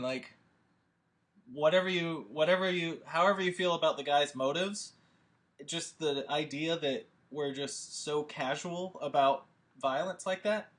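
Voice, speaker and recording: A man speaking informally to an audience as a host and writer, in a rambling, animated and emphatic way. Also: fairly high pitch. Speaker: host and scriptwriter introducing a table read.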